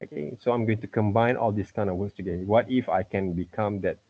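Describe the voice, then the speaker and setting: A middle-aged man with a husky voice teaching an informal online lesson, repeatedly checking with "okay" and "right". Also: fairly low pitch, fast talker. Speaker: teacher, art and character design tutor. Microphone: computer mic on a video call. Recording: muffled video-call audio.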